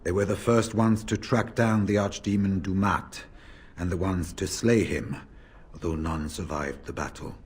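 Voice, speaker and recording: An actor playing a seasoned, plain-spoken veteran warrior in a fantasy video game, measured and familiar as he talks. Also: low voice, steady tone, breathy edge. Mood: solemn storytelling. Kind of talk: fantasy RPG dialogue. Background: game music.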